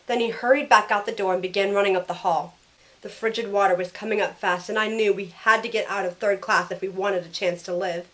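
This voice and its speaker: A woman acts out a caring young heroine telling her own story in an audio drama. It is scripted first-person narration. Her voice has a medium pitch. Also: steady tone, fairly quick, a bit bright, close to an everyday voice, low-quality recording. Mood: tense narration.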